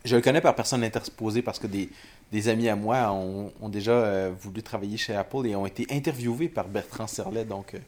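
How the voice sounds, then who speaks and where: A man chats as co-host of a casual tech podcast. He often stumbles and restarts mid-sentence. His voice sits slightly low. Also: fairly quick, darkish tone. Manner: hesitant, tags phrases with "là".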